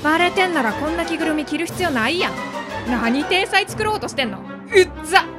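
A woman's voice: high voice, lively intonation, brisk, loud music behind the voice. A woman with a Kansai accent playing a blunt, short-tempered, scolding gym accountant in a radio drama. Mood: furious scolding. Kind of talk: Japanese audio drama acting.

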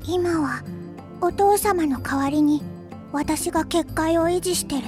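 A small, meek demon child in an anime radio drama. The voice is extremely high and slow, in halting childlike phrases. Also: female voice, over music. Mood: shy, earnest. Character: tiny, meek, earnest little demon girl. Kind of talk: Japanese anime-style radio drama acting.

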